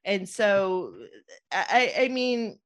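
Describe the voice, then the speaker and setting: A woman from the United States hosting a podcast, talking in an animated, excitable way with plenty of fillers. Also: mid-range voice, takes it slowly. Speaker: podcast host, nurse practitioner. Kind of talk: casual podcast interview.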